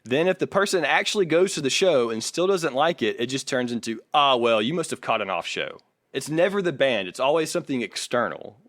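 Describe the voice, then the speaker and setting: A man's voice: medium pitch, animated, brisk, a bit bright, dry recording, studio quality. A man co-hosting a casual podcast, reading from articles and arguing his points in an opinionated way. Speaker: podcast co-host.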